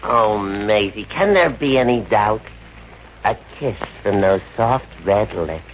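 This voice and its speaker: An actor playing a cheeky, tight-fisted pest of a boarder in a 1950s radio comedy. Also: male voice, lowish voice, animated, slow pace. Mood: lovesick, pleading. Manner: cocky, mock-dramatic. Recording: muffled, noisy old radio recording.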